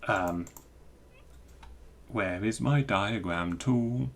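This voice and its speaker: A man giving an informal technical screencast walkthrough, thinking aloud in a halting, self-interrupting way. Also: on the low side. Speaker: presenter explaining technical material.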